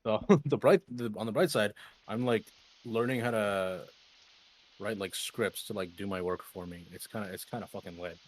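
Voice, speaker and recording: A man in his twenties with a fairly low voice talks casually among friends. His delivery is loose and slangy, dotted with swearing. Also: moderate pace, a little hiss or hum. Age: 20s.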